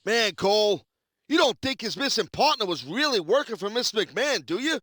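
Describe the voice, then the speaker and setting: Swooping, excitable ringside commentator. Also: male voice, high-pitched, brisk, dry recording, clean studio sound, exaggerated voice. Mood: surprised. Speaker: color commentator. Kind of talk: sports commentary in a video game.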